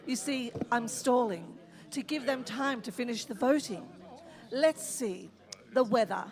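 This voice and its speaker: A woman acts out a chatty radio reporter broadcasting from the underworld in a comic radio play. The voice is fairly high and wry, with long reverb from the hall. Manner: chatty, wry, playfully stalling. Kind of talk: staged radio play acting. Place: live stage in a hall.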